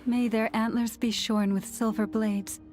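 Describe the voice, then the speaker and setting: A woman's voice: fairly high pitch, level tone, unhurried, natural voice. An actress playing an earnest, solemn priestly leader woman in a video game, speaking formally, like an incantation. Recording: loud game music behind. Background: loud game music.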